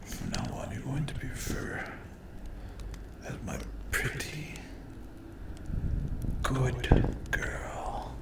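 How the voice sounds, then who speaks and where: A man voicing a menacing, sadistic demon captor in a whispered ASMR dark roleplay, breathy and gravelly, taunting with possessive, mock-tender threats. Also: medium pitch, background noise. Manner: slow, drawn-out, taunting, threatening.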